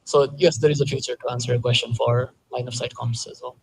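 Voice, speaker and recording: A man's voice: medium pitch, level tone, quick pace, vocal fry, slightly rough, poor audio. A young man from Nepal, an engineer speaking as a panel guest, explaining casually with many fillers. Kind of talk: panel Q&A answers.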